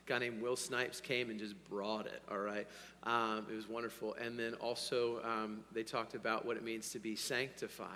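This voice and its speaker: A man preaching a sermon to his congregation, hoarse, energetic, swinging between loud bursts and quiet asides and checking in with "all right?". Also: on the low side, normal speed, slight background noise. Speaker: pastor. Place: church sanctuary. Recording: long hall reverb.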